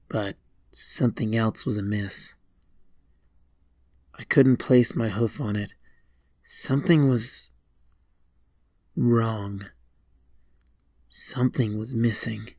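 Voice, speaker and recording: A man reading a scripted first-person horror story in a deliberate way, pausing between short phrases. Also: mid-range voice, creaky, darkish tone, no echo, clean studio sound. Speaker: fan fiction narrator.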